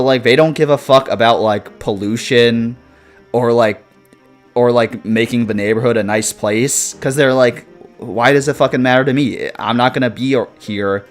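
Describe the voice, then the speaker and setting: A man in his twenties chatting casually on a live stream, reading viewer comments and rambling with lots of swearing, fillers and laughs. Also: mid-range voice. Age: 20s.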